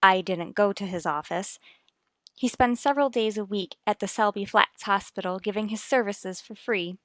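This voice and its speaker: A woman's voice: medium pitch, normal speed, no echo, close to an everyday voice. An actress playing an earnest, determined wife and worried mother in a radio soap, speaking in a firm, formal, reasoning way. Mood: calm, insistent. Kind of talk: radio soap opera acting.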